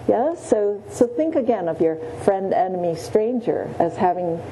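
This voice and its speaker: A woman teaching a meditation class in a gentle, halting way, often pausing and adding "yeah.". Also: mid-range voice, very animated, slight background noise, low-quality recording. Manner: gentle, many pauses and restarts, frequent "yeah". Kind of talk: guided Buddhist meditation teaching. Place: meditation room.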